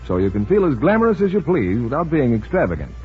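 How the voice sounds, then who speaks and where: A man announces a scripted 1940s radio advertisement with lively intonation. His voice is very husky, at a middle pitch, and the recording is dull. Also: fast. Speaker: announcer reading sponsor's advertisement.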